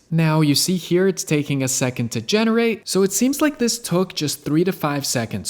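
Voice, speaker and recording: Man walking through a software tutorial. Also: fairly high pitch. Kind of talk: casual screen-share tutorial narration.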